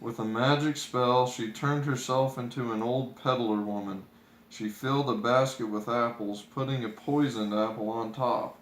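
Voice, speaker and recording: A man reading a children's fairy tale aloud in an expressive storytelling style. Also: mid-range voice, normal speed. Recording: echoey room with background noise.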